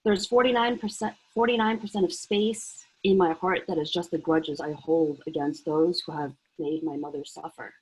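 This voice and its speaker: A woman's voice: deep voice, steady tone, normal speed, few pauses, a little mellow, husky, rough recording. A woman reading her own prose poem on a video call, intense and emphatic. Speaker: workshop participant.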